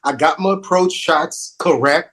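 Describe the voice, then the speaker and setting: A man's voice: on the high side, a little slow. A man from Haiti with a Haitian accent mixed with New York, hosting a casual interview chat and joking about himself as he talks. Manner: animated, self-deprecating, repeats phrases. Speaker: podcast host.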